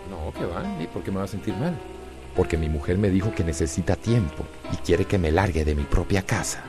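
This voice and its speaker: An actor with a Costa Rican accent playing a jealous, menacing husband in a radio soap opera, aggressive and commanding. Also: male voice, low voice, brisk, music in the background, lightly acted. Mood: curt, sarcastic.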